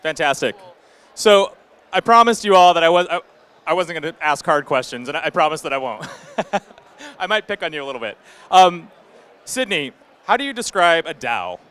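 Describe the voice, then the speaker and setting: A man's voice: fairly high pitch, very animated, normal speed, pauses often, a bit bright, clear, resonant tone. A man moderating a panel on stage, speaking to a live audience. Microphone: stage microphone.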